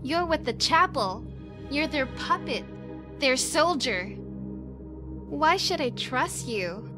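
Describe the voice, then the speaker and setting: An actress playing a newly awakened young angel, earnest and questioning, in a dramatic visual novel game. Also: female voice, sits high, lively intonation, takes it slowly. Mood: distrustful. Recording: loud music behind the voice. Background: music.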